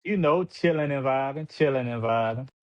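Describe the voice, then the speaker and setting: Man, podcast host, relaxed slangy talk, dull lo-fi recording. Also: medium pitch, moderate pace. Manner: laid-back slangy hype-man talk. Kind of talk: casual podcast interview. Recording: dull lo-fi sound.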